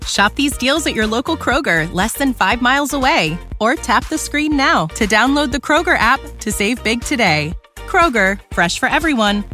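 Bright, lively woman in a sales ad. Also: fairly high pitch, normal speed. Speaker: ad voice-over. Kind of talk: scripted commercial read. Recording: faint music bed behind.

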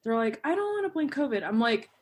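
A woman's voice: fairly high pitch, sing-song, fast. A woman chatting as a guest on a podcast, laughing often and acting out what others said. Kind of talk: casual podcast chat.

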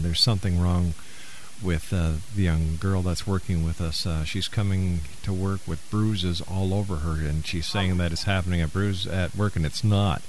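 A man's voice: low voice, a little hiss or hum, low-quality recording. A man hosting a radio interview in a folksy, encouraging way. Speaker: radio host.

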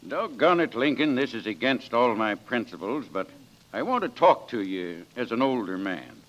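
A man's voice: medium pitch, very animated, moderate pace, a bit bright. A man playing a folksy, blustery but well-meaning older judge in a 1940s radio drama. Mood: awkward well-meaning. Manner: hemming, mildly cussing folksy bluster. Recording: dull, band-limited old radio recording.

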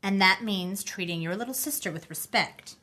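An actress playing a young mother in her thirties who speaks firmly to her son and gently to her little daughter. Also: mid-range voice, normal speed, lightly acted. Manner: firm, gentle maternal. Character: young mother, firm yet tender, steady in crisis.

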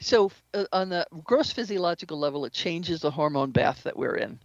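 A woman speaking as an interview guest, explaining spiritual ideas in an earnest, measured way. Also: low-pitched, expressive, no echo, muffled recording. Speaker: interview guest, shamanic practitioner and author.

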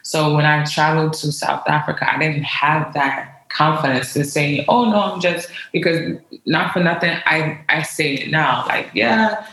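A woman's voice: low voice, unhurried, gravelly, a bit bright. A woman with an American accent talking conversationally as an interview guest, telling personal stories in an informal, emphatic way. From United States. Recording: roomy, echoey sound.

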